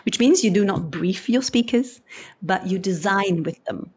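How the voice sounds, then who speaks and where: A woman's voice: mid-range voice, unhurried, slightly rough, muffled recording. A woman from Belgium, not a native English speaker, giving a conversational talk as an event host, acting out little quotes and restarting her sentences. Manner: animated, acts out quotes with restarts. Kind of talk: conversational presentation.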